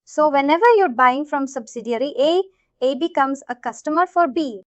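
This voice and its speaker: A woman's voice: sits high, moderate pace, no room sound, muffled recording. A woman trainer explaining a concept to learners step by step, repeating herself and checking that they follow. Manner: repetitive, checks understanding often. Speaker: software trainer.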